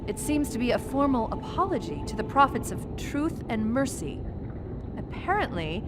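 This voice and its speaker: A woman playing a brisk, wry military AI companion in a video game, speaking in a crisp, analytical way. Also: fairly high pitch, big pitch swings, very noisy. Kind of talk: video game cutscene acting. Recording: loud music behind voice. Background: loud music.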